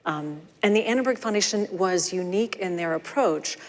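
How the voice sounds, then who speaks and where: A woman with a fairly low voice talks in polished, well-shaped sentences from a conference stage. A long hall reverb trails her words. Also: normal speed. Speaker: panelist, foundation AI resident. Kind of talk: conference panel talk.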